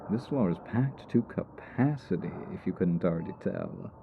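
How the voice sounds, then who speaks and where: A man's voice: low voice, animated, moderate pace, vocal fry, phone-quality sound, slight background noise. A man playing a cocky, teasing supervillain stuck as a hospital patient in an audio roleplay, talking with smug mock politeness. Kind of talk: ASMR roleplay audio drama.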